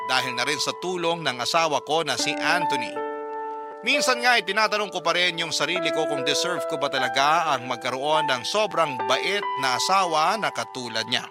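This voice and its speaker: A man hosting a radio show, reading listeners' letters aloud as sentimental stories in a measured, earnest way. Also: a little high. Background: music bed under the voice.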